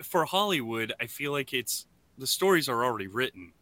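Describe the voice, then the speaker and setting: A man co-hosting a podcast in casual chat, often halting and restarting his thoughts. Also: mid-range voice, big pitch swings, bright, forceful tone, no echo. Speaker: podcast co-host.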